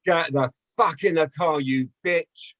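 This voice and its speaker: A man's voice: on the high side, a little slow, a bit bright, dry recording, voice pushed up. A man playing an aggressive, bullying pimp in his fifties in a screenplay table read. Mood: raging, threatening. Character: raging thin pimp in his early fifties, threatening, foul-mouthed.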